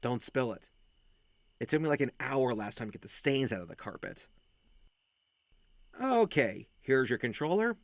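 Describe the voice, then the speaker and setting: A man's voice: mid-range voice, normal speed, long pauses, no echo. A man voicing a friendly, chatty young male werewolf who talks in teasing gamer slang in an audio roleplay. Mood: hushed, conspiratorial, then casual, confiding. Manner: slangy, hushed-to-excitable, teasing.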